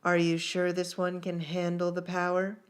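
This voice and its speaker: A man's voice: high voice, completely flat delivery, normal speed, clear, resonant tone, natural voice. A man reading the part of a wry, dutiful young woman soldier in a fantasy story. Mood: questioning.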